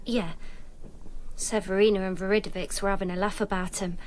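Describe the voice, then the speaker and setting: A woman's voice: medium pitch, little pitch movement, brisk, a little mellow, slight background noise, lightly acted. An actress playing a nervous, timid young kitchen slave girl being questioned, answering defensively in blunt, ungrammatical speech. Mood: wary. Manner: nervous, defensive, plain-spoken with non-standard grammar. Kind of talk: BBC radio drama acting.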